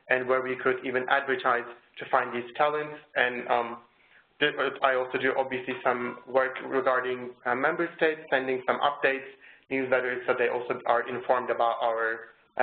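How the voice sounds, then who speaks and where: A man's voice: mid-range voice, slight background noise. A young man, an intern, describing his work loosely with many um fillers. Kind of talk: self-introduction. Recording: tinny phone-like sound in an echoey room.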